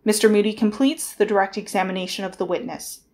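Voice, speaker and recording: A woman narrates a dramatized court transcript from a script. Her voice sits at a middle pitch for a woman. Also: fairly even intonation, fast talker, a little mellow.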